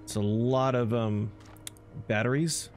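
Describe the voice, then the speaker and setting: A man's voice: mid-range voice, steady tone, unhurried. A man giving casual gameplay commentary, informally thinking aloud as he plays. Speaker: gaming video host. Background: loud game music and sound effects.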